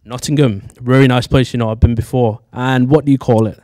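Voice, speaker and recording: A man's voice: medium pitch, steady tone, fairly quick, mellow, no room sound, studio quality. A man in his twenties with a Manchester accent, chattily hosting and interviewing on a handheld microphone. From Manchester, England. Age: 20s. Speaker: studio show host. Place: dark studio theatre with tiered seats.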